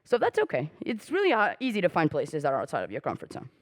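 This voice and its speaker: Young woman, native Portuguese speaker, conference talk, animated pitch, candid and joking, dry close sound. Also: fast talker, no echo. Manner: candid, self-deprecating, casual with swearing and fillers; acts out quoted dialogue with jumps in pitch. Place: on a conference stage.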